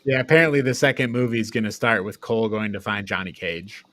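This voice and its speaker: A man with a fairly low voice talks through a recap as a podcast co-host. His delivery is informal and conversational. The sound is dry, with very little room on it. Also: fast, fairly bright tone, no echo. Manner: informal, swears casually. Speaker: podcast co-host reviewing films. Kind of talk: casual recap discussion.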